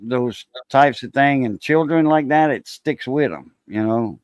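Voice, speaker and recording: A man's voice: mid-range voice, a little slow, no room sound. Laid-back man about sixty. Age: 50s. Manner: laid-back, laughs easily, many fillers. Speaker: live stream host. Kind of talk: casual chat.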